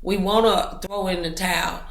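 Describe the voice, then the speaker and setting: A woman's voice: on the low side, steady tone, normal speed, echoey room, slight background noise. A woman hosting a motivational interview in an encouraging, preacherly manner. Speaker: talk show host.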